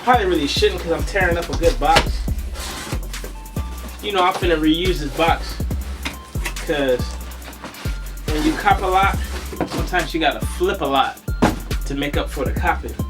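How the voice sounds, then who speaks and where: A man with a somewhat high voice, talking in a slangy, laid-back way as he unboxes sneakers for viewers. His voice is a little raspy from a cough, and he sounds under the weather. Soft music plays quietly behind him. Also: normal speed, pauses often. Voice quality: slightly raspy. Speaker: sneaker vlogger. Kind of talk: casual unboxing vlog.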